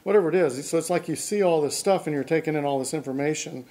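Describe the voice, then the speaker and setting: A middle-aged man with a gravelly, mellow voice talks through golf technique at a studio desk. He reaches for everyday analogies and restarts his sentences often. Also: a little high, fast. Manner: explains with analogies, many restarts and fillers. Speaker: golf instructor and show host. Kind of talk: casual studio chat. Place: small studio set with a backdrop.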